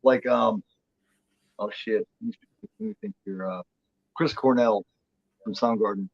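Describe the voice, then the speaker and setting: A man's voice: fairly low pitch, slow, vocal fry, no room sound. Guy in his 40s, swears a lot, rambly casual chat, dry muffled lo-fi sound. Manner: profane, rambling and blunt. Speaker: co-host.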